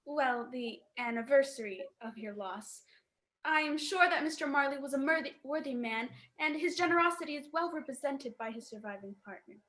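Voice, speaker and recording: A woman's voice: high-pitched, moderate pace, fairly bright tone. A woman acting in a radio play as an earnest, proper, forthright lady who collects for charity. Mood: polite, eager.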